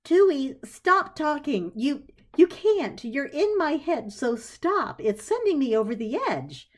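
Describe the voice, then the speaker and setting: A woman's voice: high voice, big pitch swings, slow pace, voice pushed up, heavily acted. A woman playing an anxious, flustered woman in a storybook, with a hesitant, stammering, nervous manner. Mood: panicked. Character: flustered, anxious woman startled by her talking houseplant. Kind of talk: audio storybook character voice.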